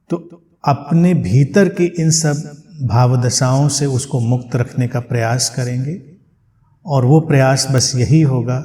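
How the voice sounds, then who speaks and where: A man teaches meditation in a very slow, relaxed voice. His pitch sits in a middle range for a man. He gives gentle instructions in short phrases, leaving plenty of space between them. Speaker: meditation teacher leading a guided session.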